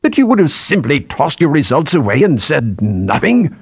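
A man's voice: fairly high pitch, wildly swooping pitch, moderate pace, slightly rough, no room sound, voice pushed up, heavily acted. A man voicing a stern, austere old head scientist with an emphatic, commanding manner in an audiobook. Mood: angry, indignant. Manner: ominous, emphatic.

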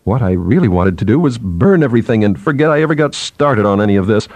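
A man's voice: on the low side, lively intonation, quick pace, a little mellow, no room sound. A man voicing a nervous, apologetic junior scientist who chatters deferentially to his superior in an audiobook. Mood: anxious, apologetic. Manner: chattering, hesitant.

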